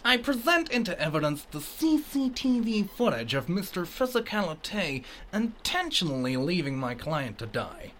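A woman's voice: on the low side, sing-song, normal speed, few pauses, a little hiss or hum. A woman playing a formal, pressing courtroom lawyer in a comedy sketch. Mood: formal, probing.

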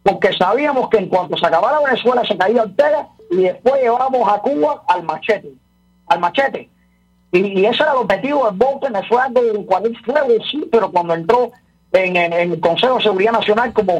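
A man's voice: high-pitched, breathy edge, lo-fi sound. A man calling in to a radio show as a political commentator, repeating words and restarting his sentences.

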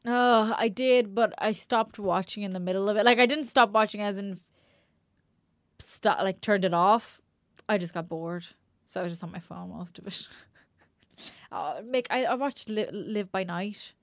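A woman's voice: medium pitch, a little slow, no room sound. Giggly woman in a dry, close recording. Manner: laughs often, gushes and rants. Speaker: podcast co-host. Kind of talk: casual film review chat.

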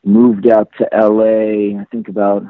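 A man from Iran in his thirties, a photographer, talking reflectively in a casual interview with many pauses and restarts. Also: on the low side, little pitch movement. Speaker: photographer guest. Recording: tinny phone-line sound.

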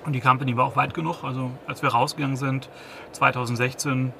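A man's voice: medium pitch, level tone, fast, vocal fry, noisy background. A man in his thirties, a company founder, giving a business interview, hesitant, with many restarts and fillers. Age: 30s.

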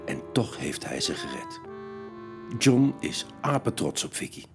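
A man's voice: low voice, big pitch swings, measured pace. A man narrating a children's audio story in a dramatic, suspenseful, scripted style. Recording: music bed behind the narration.

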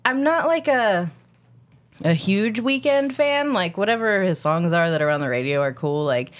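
A woman's voice: mid-range voice, expressive, normal speed. A young adult woman co-hosting a podcast, chatting casually with blunt, crude jokes. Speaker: podcast co-host. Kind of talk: casual chat.

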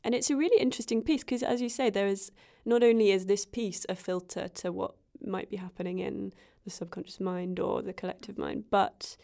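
A woman's voice: mid-range voice, steady tone, a little fast, vocal fry, few pauses, dark tone. A woman hosting an interview, asking long, rambling questions with frequent fillers. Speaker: interviewer host. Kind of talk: interview conversation.

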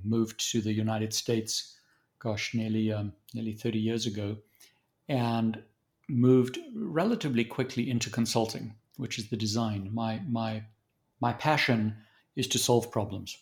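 A man in his fifties with a Southern African accent, a guest in an interview, talking thoughtfully with frequent restarts and pauses. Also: lowish voice. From born in Zambia, raised in South Africa. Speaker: guest consultant. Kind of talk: conversational interview.

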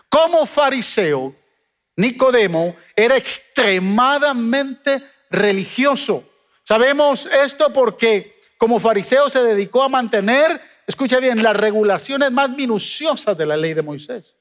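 A Guatemalan man preaching a sermon as pastor, with emphatic rises and sudden soft drops. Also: high-pitched, long reverb tail. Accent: Guatemalan Spanish. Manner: emphatic preaching, swings between loud peaks and soft drops.

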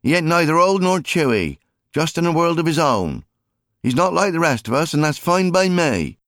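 Man doing a bossy country mother, raised pitch. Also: big pitch swings, moderate pace, fairly bright tone, no echo, studio quality. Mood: scolding. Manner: scolding, no-nonsense, folksy rural grammar. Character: firm, bossy, fond country wife and mother. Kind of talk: audiobook character acting.

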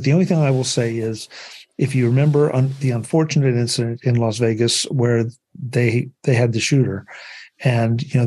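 Husky-voiced man hosting a panel. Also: mid-range voice, a little mellow. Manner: rambling, conversational, many fillers. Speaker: podcast host. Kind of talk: casual panel discussion.

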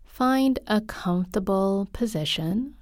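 A woman leads a guided meditation at a very slow pace, softly and calmly. Her tone is mellow and slightly breathy, with long pauses between phrases. The sound is dry and close. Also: medium pitch, no echo. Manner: soft, calm, unhurried, with frequent pauses. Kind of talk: guided body scan meditation.

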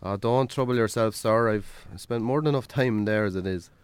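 Male actor as Irish farmer, weary, wry, fairly deep voice, understated radio drama. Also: moderate pace, clean tone, no room sound, close to an everyday voice. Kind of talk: naturalistic radio drama acting.

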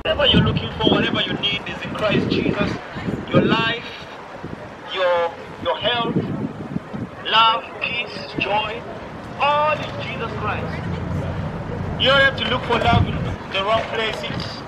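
Fervent high-voiced male street preacher. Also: measured pace, pauses often, very noisy. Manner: fervent, proclaiming.

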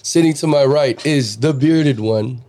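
A man hosting a casual podcast from a backyard, hoarse from cheering, brash and teasing, swearing often and laughing a lot. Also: mid-range voice, unhurried, dark tone. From Korean heritage. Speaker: podcast host. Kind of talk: profane casual podcast chat.

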